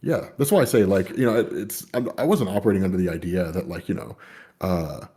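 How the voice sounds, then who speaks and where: A man's voice: deep voice, fast, mellow. A man in casual conversation, rambling with lots of fillers and the odd swear. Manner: rambling, many fillers and "you know".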